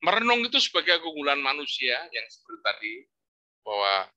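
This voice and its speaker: Older man, professor giving a campus talk, very bright tone, storytelling lilt. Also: a little high, a little fast. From Indonesia. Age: 60s. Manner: storytelling, lively rising emphasis, religious exclamations. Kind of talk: informal religious study lecture.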